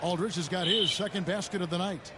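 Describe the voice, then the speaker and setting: A man playing a brisk play-by-play basketball announcer in a video game, making clipped, punchy calls. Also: high-pitched, close to an everyday voice. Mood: calling play. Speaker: play-by-play sports commentator. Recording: loud arena crowd, ball bounces, noisy.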